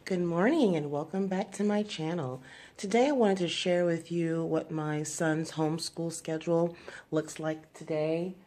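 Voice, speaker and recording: Low-voiced woman explaining a homeschool routine. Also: dark tone. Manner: unhurried, explaining step by step with frequent fillers. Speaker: homeschooling mother and channel host. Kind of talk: casual explanatory vlog.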